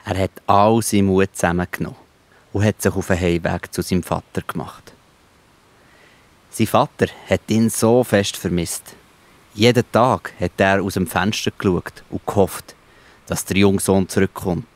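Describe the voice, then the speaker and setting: A man's voice: low-pitched, steady tone, moderate pace. A man with a Swiss German accent narrating a scripted Bible story for children.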